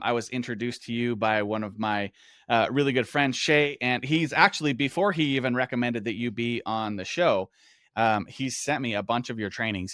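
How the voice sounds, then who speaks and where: A man's voice: medium pitch, a bit bright, clean tone, no room sound, clean studio sound. A man hosting a podcast, introducing and interviewing a guest. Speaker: podcast host.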